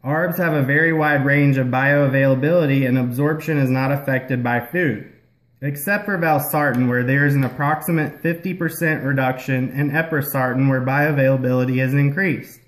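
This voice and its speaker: A man's voice: mid-range voice, steady tone, moderate pace, natural voice. A man playing a patient, explanatory health-care puppet in a scripted educational show. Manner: explanatory, instructional. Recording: roomy echo.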